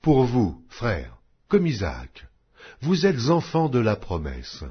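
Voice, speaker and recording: A man giving a solemn, measured scripture reading, with emphatic rises on key phrases. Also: medium pitch, wildly swooping pitch, breathy edge. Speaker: Bible reader. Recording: dull lo-fi recording.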